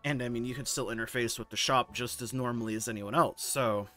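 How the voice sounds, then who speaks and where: A man with a hoarse, sore throat hosting a gaming video, casually reading forum posts aloud and giving his candid, laid-back take. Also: mid-range voice, steady tone, fairly quick, a bit bright, faint music behind.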